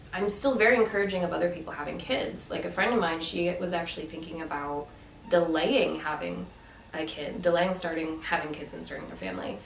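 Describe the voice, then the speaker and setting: Female vlogger, low-ish voice, rambling casual chat; roomy, distant sound. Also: creaky, a bit bright, slight background noise. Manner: chatty, many fillers and restarts. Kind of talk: casual storytelling vlog. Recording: echoey room from a distance with faint ambience.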